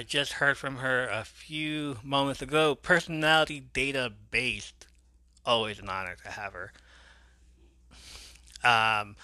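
A man hosting a podcast, giving relaxed, rambling shout-outs to listeners and reading out their names in a voice he says people find soothing. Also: medium pitch, no echo. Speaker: podcast host.

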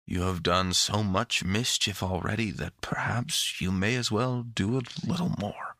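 A man's voice: on the low side, fairly even intonation, a little slow, bright, forceful tone, dry recording, clean studio sound. A man voicing a sullen, grudging young boy in a storybook, with a grumbling, sulky manner. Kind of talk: storybook character voice.

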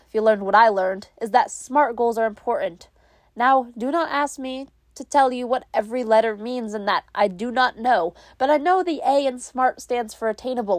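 A woman's voice: sits high, dry recording. Stuffy-nosed young woman rambling cheerfully. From New England, US. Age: young adult. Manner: rambling, chatty, self-mocking. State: stuffy with a cold. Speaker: podcast host. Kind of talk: casual solo podcast chat.

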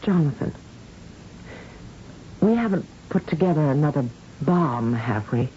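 An actress playing an anxious, loyal scientist accomplice with a hesitant, halting manner in an old-time radio drama. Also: female voice, sits low, animated, warm tone. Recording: muffled old radio drama, faint hiss.

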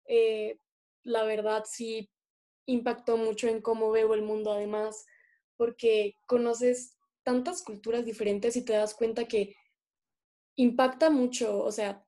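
A woman's voice: a little high, little pitch movement, unhurried, warm tone. A young woman from Colombia co-hosting a casual podcast, speaking earnestly with many fillers over a remote call. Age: young adult. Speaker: podcast co-host. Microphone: remote call recording.

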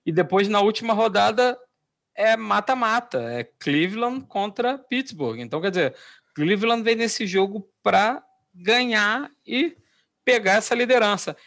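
A man's voice: sits high, animated, a little slow, creaky, a bit bright. A man co-hosting a casual football podcast, easygoing and analytical about matchups. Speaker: podcast co-host, NFL picks. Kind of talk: casual sports chat.

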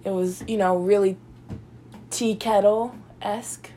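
A young woman co-hosting a relaxed girls' night chat, her voice stuffy and congested. She rambles and giggles and uses plenty of fillers. Her pitch sits mid-range, with a faint hiss in the background. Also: takes it slowly. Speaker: podcast co-host. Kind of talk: casual chat.

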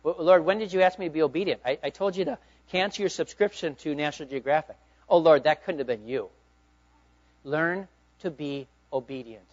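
High-voiced preaching man, lo-fi. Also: fairly quick. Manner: emphatic preaching, swinging between loud urging and hushed asides. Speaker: pastor. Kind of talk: sermon. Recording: dull lo-fi sound.

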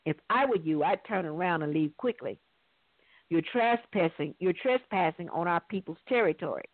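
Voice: medium pitch, animated, moderate pace, no room sound, close to an everyday voice. Blunt warrior man, read by a narrator, phone-thin. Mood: defiant. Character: proud young warrior man, defiant and blunt. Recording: thin, dry phone-line sound.

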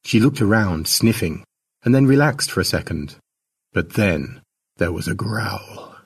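A man narrating a scripted short story in a dramatic storytelling manner, with a husky voice. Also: lowish voice, animated, unhurried. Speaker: author narrating his own short story.

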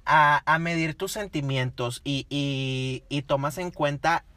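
A man's voice: on the high side, slow pace, bright, forceful tone, no room sound. A man in his early twenties with a Mexican accent, co-hosting a casual, playful chat and repeating phrases for emphasis. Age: early 20s. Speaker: co-host of a conversational show.

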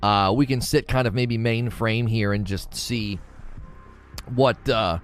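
A man's voice: on the low side, steady tone, slow. Rambling male livestream host, music faint. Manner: rambling, restarts often, self-corrects. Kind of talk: casual livestream chat, reading chat aloud.

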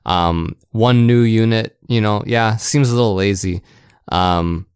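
Smooth, close-sounding man chatting about games. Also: fairly low pitch, unhurried, dry recording. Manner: conversational, punctuates points with rhetorical check-ins. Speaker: podcast host. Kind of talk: casual video game discussion.

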